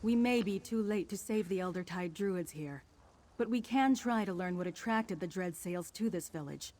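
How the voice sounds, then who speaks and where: Woman acting a measured, thoughtful fantasy investigator. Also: on the low side, noisy background, close to an everyday voice. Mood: focused. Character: thoughtful adult female investigator companion in fantasy quest. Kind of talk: scripted video game dialogue.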